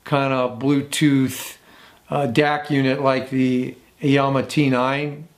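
Gravelly older man reviewing gear casually. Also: mid-range voice, level tone, slow. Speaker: host of vintage audio review.

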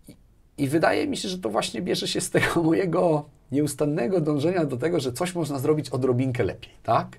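A man's voice: on the high side, a little fast, creaky, a little mellow, husky. A man chats casually as an interview guest, often repeating words and tagging phrases with a checking question. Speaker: podcast guest, technology executive. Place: small furnished studio with padded wall panels, indoors. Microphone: lapel microphone.

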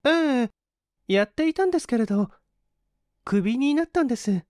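A woman's voice: sits high, animated, moderate pace, darkish tone, no room sound, studio quality, voice pushed up. A woman voicing a proud, prickly young woman down on her luck, with prim, refined, old-fashioned politeness, in a story reading. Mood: hesitant.

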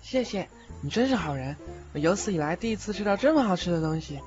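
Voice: medium pitch, animated, fast, lightly acted. An actor playing a timid, naive, hungry teenage boy ghost in an audio drama, with a meek, hesitant manner. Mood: grateful, curious. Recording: slightly dull recording with music behind.

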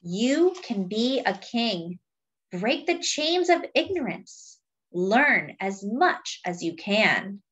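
A woman reading a picture book aloud to young children in a deliberate, teacherly way, adding simple explanations as she goes. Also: medium pitch, big pitch swings, fairly bright tone, slightly rough, rough recording. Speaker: children's read-aloud storyteller.

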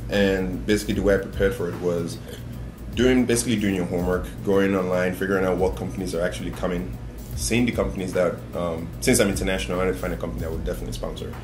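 A young man gives interview answers in a deep voice. His delivery is fairly flat. Music plays behind him. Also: quick pace. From Nigeria. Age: young adult. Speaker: graduate student interviewee. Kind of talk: interview testimonial. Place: small indoor meeting room.

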